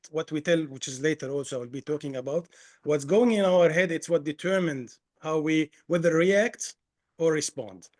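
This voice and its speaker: A man from Lebanon, an executive coach, presenting on a video call. He talks fast and often restarts his sentences. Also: on the high side, darkish tone. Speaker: executive coach, guest speaker. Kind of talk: webinar presentation.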